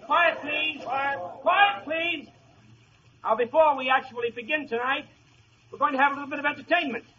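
Earnest crusader speechifying, super high voice, muffled old 1940s radio play. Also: male voice, normal speed, pushed and bright, a little hiss or hum. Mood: rallying. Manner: projected public oratory. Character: earnest crusading young political speaker addressing a crowd. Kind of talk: 1940s radio comedy acting.

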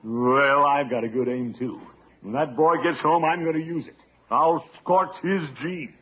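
A man's voice: on the high side, steady tone, measured pace, natural voice. An American man playing a pompous, exasperated middle-aged uncle in a vintage radio comedy, blustering and grumbling. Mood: stern, blustering. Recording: vintage radio, narrow-band, noisy.

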